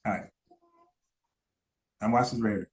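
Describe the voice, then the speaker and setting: A man's voice: lowish voice, fairly even intonation, unhurried. A man giving casual live-stream commentary, rambling, repeating words and asking his chat questions. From United States.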